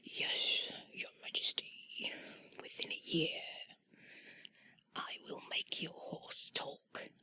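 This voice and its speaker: A woman voicing a clever, optimistic male court jester in a breathy whisper, deferential and measured, within a bedtime story. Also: bright, forceful tone.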